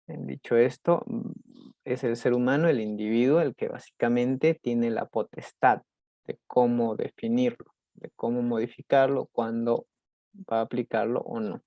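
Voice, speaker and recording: A young Peruvian man with a Peruvian Spanish accent, a student giving a recorded class presentation, halting with many restarts and fillers. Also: mid-range voice, mellow, no echo, dull audio. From Peru.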